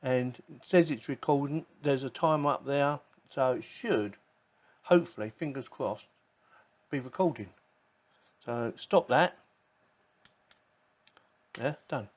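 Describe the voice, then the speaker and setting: A man's voice: medium pitch, slow pace, long pauses. Casual, chatty man reviewing a gadget. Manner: informal, chatty, thinking aloud. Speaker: tech product reviewer. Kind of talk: casual unboxing and demo commentary.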